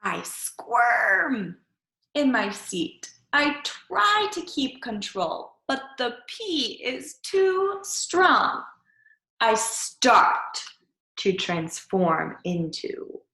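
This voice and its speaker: Storybook young boy, performed by a woman: theatrical, full of dread, highly animated pitch, drawn-out suspense. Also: vocal fry, reverberant, heavily acted. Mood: strained, desperate. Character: dramatic young boy who dreads eating peas. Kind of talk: animated storybook read-aloud.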